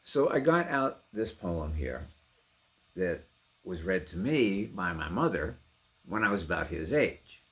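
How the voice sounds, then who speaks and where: A man's voice: low-pitched, very animated, a little slow, fairly bright tone. A middle-aged man giving a casual personal introduction to a reading, with emotive, theatrical emphasis. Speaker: poetry reader and trained actor.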